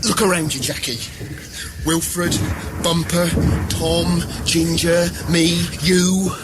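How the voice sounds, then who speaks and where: An actor playing a protective older-brother soldier, an irreverent village lad with a rural English accent, in a radio drama. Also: male voice, fairly high pitch, little pitch movement, takes it slowly, heavy background noise. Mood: reassuring, urgent.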